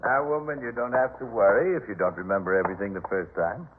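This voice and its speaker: A man's voice: on the low side, fast, a little hiss or hum, close to an everyday voice. An American man playing a proud, boastful household master in a 1950s radio drama, with a pompous, patronizing manner. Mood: condescending, genial. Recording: narrow-band vintage 1950s radio recording.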